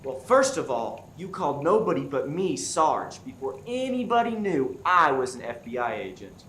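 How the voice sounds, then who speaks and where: A man acts an earnest detective agent in a farcical radio play, laying out accusations dramatically. The voice is on the high side with big swings in pitch, in a roomy, echoing space with noise behind. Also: sing-song, a bit bright. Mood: accusing. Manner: deliberate, dramatic deducing. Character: one-eyed male FBI agent turned earnest, dramatic sleuth. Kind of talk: comic stage radio play acting. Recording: roomy, echoey stage with clear background noise.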